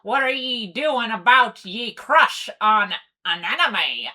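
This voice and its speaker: A woman's voice: fairly high pitch, expressive, slow, pushed and bright, full character voice. A woman voicing a bossy, teasing pony sea captain with pirate-style speech in a cartoon comic dub.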